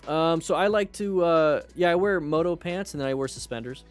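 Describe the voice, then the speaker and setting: A man's voice: fairly high pitch, fairly quick, clean tone. Casual joking man livestreaming over music. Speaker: livestream host; firefighter. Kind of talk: casual livestream chat, answering viewers.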